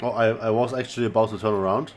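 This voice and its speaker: A man's voice: lowish voice, fairly even intonation, quick pace, slight background noise. A man giving casual let's-play commentary, earnestly talking back to game characters. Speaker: gamer playing and reacting.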